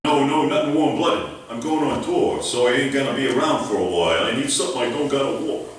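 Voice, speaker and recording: A man's voice: mid-range voice, quick pace, lightly acted. A man playing a dim, cocky male pro bowler in a live old-time radio comedy, talking in street slang and mangled words. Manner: streetwise slang, garbled malapropisms, hesitant when flirting. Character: dim, swaggering male pro bowler, tough-guy boxer parody. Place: library meeting room, live show. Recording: very echoey, distant mic, room noise.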